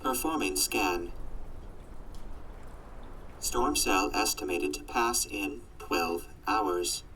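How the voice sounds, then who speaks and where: A man voicing a gloomy, literal-minded robot companion in an audio drama, with stilted, deadpan announcements. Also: deep voice, very slow, pauses often, slight background noise, exaggerated voice, rough recording. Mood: flat warning. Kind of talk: post-apocalyptic audio drama acting.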